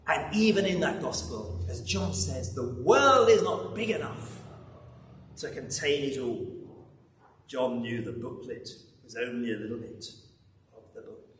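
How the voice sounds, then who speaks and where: An older man in his sixties or above preaching a sermon with emphatic delivery, dramatic pauses and rhetorical repetition. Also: mid-range voice, animated. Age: 60s or older. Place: large church interior at a lectern. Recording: echoey church, distant mic, background noise.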